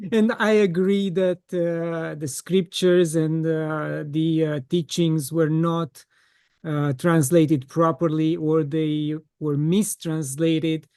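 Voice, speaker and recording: A man's voice: a little high, level tone, slow pace, a little mellow, smooth, dry recording, clean studio sound. A man hosting an interview podcast, asking questions in a hesitant way with many fillers. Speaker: podcast host. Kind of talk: casual interview conversation.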